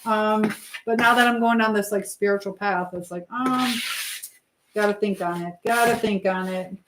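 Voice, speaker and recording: A woman hosting a casual live chat, reading comments and answering them in a rambling, halting way as she thinks aloud. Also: mid-range voice, background noise.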